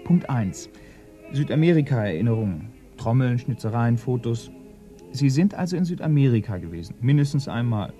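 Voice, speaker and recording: An actor playing an earnest, pedantic police assistant in a radio crime play, precise and deadpan as he lists facts. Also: medium pitch, normal speed, faint music behind, close to an everyday voice. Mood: methodical.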